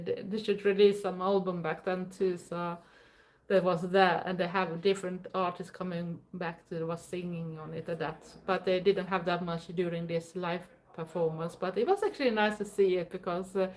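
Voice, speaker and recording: Swedish woman, second-language English, livestream host; chatty and rambling, easy laughter. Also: mid-range voice. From Sweden. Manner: chatty, rambling, with frequent fillers and soft laughs. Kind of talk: casual live-stream chat reading viewer comments.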